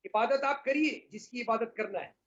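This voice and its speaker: Really high voiced guy in a debate, muffled lo-fi audio, keeps correcting and repeating himself. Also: normal speed, fairly bright tone. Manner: insistent, repetitive correcting. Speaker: debate panelist. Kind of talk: argumentative TV debate.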